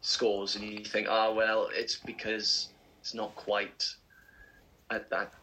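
A man co-hosting a casual podcast, chatty and animated, laughing often as he tells stories. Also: lowish voice, takes it slowly, background noise. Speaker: podcast co-host. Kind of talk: casual chat.

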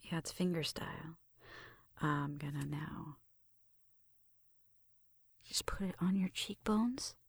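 A woman performing a gentle, intimate ASMR roleplay, softly whispering and murmuring close to the mic as she narrates each step. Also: sits low, takes it slowly, long pauses. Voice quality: soft, breathy, often whispering.

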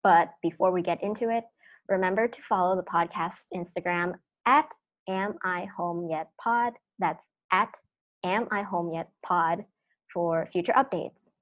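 A woman's voice: fairly low pitch, a little slow. A woman in her twenties hosting a podcast, chatting casually and explaining at length. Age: 20s. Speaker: podcast host.

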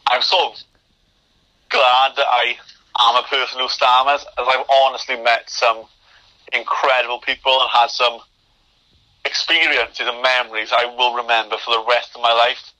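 Bright-toned young man who stammers. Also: mid-range voice, little pitch movement, normal speed, poor audio. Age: young adult. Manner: stammers, with repeated starts and blocked words. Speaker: podcast guest. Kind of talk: interview conversation.

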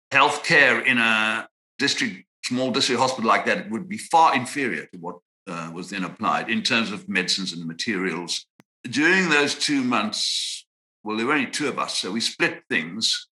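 A man in his sixties from Zimbabwe, a psychiatrist answering interview questions in a measured, reflective way with frequent pauses and restarts. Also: fairly low pitch, lively intonation, sharp tone, poor audio. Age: 60s. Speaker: guest psychiatrist.

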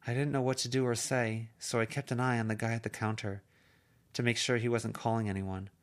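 A man's voice: mid-range voice, little pitch movement, brisk, pitched above the performer's own voice, close to an everyday voice. A man reading an amateur audiobook, voicing an anxious, earnest teenage girl who pleads hesitantly. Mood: worried.

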